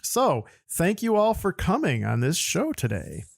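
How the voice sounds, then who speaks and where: A man's voice: sits high, huge pitch swings, unhurried, gravelly, dry recording. A man hosting a podcast, interviewing guests in a casual conversational style. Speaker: podcast host.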